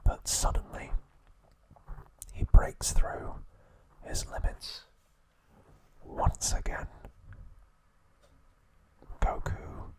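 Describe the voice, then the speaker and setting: A man narrating ASMR in a hushed, deliberate whisper, breaking his sentences into short phrases. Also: poor audio. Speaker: ASMR host.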